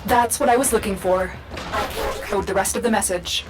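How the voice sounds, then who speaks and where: An actress playing a composed female mission guide in a video game, speaking in a measured, grave, instructive way. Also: mid-range voice, loud music behind the voice, natural voice. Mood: focused instruction. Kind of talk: scripted video game voice acting.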